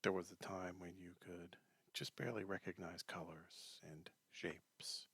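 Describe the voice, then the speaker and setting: A man leading a guided meditation in a soft, breathy, gentle voice, unhurried and leaving long pauses between short phrases. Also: sits low, steady tone, no echo. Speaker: meditation teacher.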